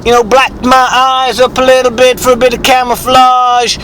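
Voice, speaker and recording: A man voicing an eccentric, foul-mouthed rustic bigfoot hunter, husky with a sore throat, telling a halting, rambling, repetitive mock-documentary tale. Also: extremely high pitch, level tone, fairly bright tone, a little hiss or hum. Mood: suspenseful, bewildered.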